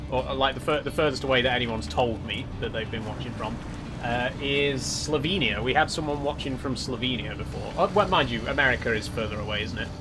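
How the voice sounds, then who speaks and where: A British man chatting casually and politely to viewers as a livestreamer. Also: medium pitch. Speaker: live streamer. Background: background guitar music.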